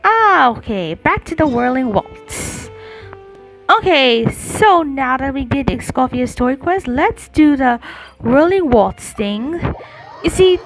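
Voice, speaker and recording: A woman's voice: sits high, big pitch swings, slow pace, poor audio. A woman giving casual gameplay commentary as a gaming streamer. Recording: game music behind the voice.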